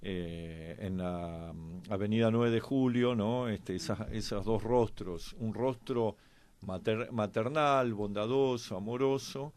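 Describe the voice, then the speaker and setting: A man with an Argentine accent giving conversational historical commentary as a panelist, reflective and halting, often pausing mid-thought. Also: lowish voice, a little mellow. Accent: Argentine Spanish. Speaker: panelist on a history and politics programme.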